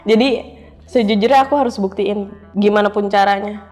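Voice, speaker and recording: A woman's voice: medium pitch, moderate pace, a little mellow. A young woman answering casually as an interview guest, explaining informally and repeating phrases for emphasis. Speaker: interview guest, cyber security analyst. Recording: reverberant room, faint music.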